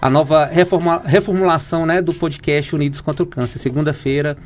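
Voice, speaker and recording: A man, an oncologist from Minas Gerais with a Brazilian accent, explains things in a halting way, full of fillers and restarts. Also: on the high side, fairly even intonation, slight background noise. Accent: Brazilian Portuguese, Minas Gerais. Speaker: oncologist guest. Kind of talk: explanatory interview talk.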